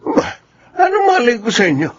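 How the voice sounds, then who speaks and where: A man's voice: very high voice, wildly swooping pitch, a little fast, breathy edge, exaggerated voice. A man playing an exasperated, defensive man in a Filipino radio drama, with a Filipino accent. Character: exasperated, defensive man accused by his partner. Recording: dull radio broadcast, faint noise.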